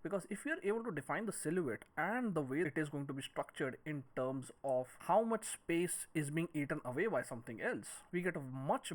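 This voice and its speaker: A man narrating a tutorial as an instructor, explaining step by step and posing questions that he then answers. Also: on the high side.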